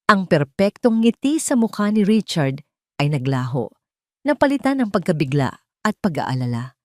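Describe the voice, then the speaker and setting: A Filipino woman narrates a scripted audio drama. Her voice sits low, and the recording is dry, with very little room sound. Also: big pitch swings, normal speed, no room sound.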